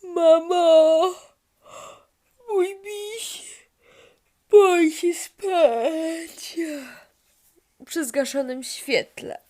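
Woman putting on a high-pitched, excitable little boy. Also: extremely slow, pauses often, full character voice. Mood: whiny, tearful. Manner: exclaiming, childlike.

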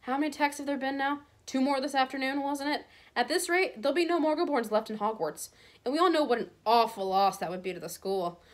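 A woman voicing a haughty aristocratic male villain in a storybook, smooth, condescending and falsely polite. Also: high voice, fast, pitched above the performer's own voice, lightly acted. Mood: smug. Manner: oily politeness, condescending drawl.

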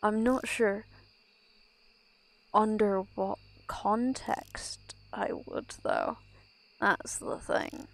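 Slow, gravelly young woman streamer. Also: medium pitch, fairly even intonation. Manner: rambling, self-interrupting, laughs often. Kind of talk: casual gameplay chat.

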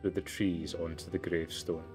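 A man reading as a formal, measured storybook narrator in a story game. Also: sits low, little pitch movement, a little mellow, soft background music, close to an everyday voice. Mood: measured, solemn. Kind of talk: scripted narration.

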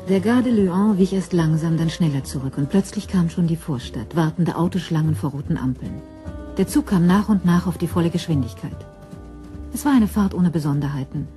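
A woman giving measured, sober scripted narration for a German crime radio play. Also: lowish voice, warm tone, soft background music.

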